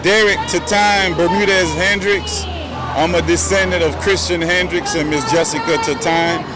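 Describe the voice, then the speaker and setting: Solemn man in a loud, noisy crowd. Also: sits high, steady tone, moderate pace. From U.S. Virgin Islands. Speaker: protester. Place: outdoors on a city street.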